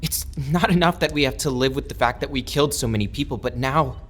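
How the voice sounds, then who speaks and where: An actor playing a troubled, conscience-stricken young man in an audio drama, earnest and halting with a bitter edge. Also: mid-range voice, fairly bright tone, natural voice. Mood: hesitant, troubled.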